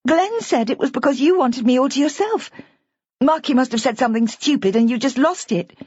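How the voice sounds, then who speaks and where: A woman voicing a forceful young wife who pleads and presses her husband for the truth. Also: sits high, lively intonation, fairly quick, slightly rough, dry recording, lo-fi sound, voice pushed up. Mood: urgent. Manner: urgent, insistent.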